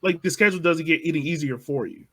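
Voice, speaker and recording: A man with a somewhat high voice co-hosting a casual sports podcast. He talks in an easygoing way and laughs along with the others. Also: quick pace, sharp tone, breathy edge. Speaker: podcast co-host.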